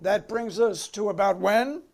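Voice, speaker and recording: A man's voice: high voice, unhurried, gravelly, a little mellow, slight background noise. A man playing a methodical committee counsel in a dramatic hearing reading, putting formal, clipped questions to a witness. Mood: businesslike. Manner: clipped, official, persistent questioning.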